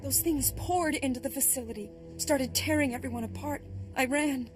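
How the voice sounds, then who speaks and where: Woman as a high-voiced, shaken lab worker. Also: moderate pace, music in the background, natural voice. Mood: shaken, sorrowful. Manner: earnest, dramatic. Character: shaken, earnest female research lab worker. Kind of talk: scripted video game acting.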